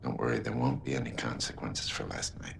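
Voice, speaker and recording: A middle-aged man acting a concerned, guarded scientist in a video game drama, soft-spoken and measured, with a gravelly voice. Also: steady tone, exaggerated voice. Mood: apologetic, grave. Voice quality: gravelly, breathy at phrase ends. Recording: low rumbling background noise.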